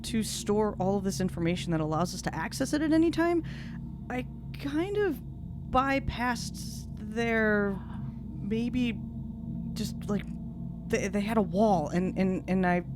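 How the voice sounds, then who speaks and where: A woman's voice: medium pitch, animated, a little slow, over music. A woman speaking casually as a player improvising in a tabletop game. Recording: noisy room recording.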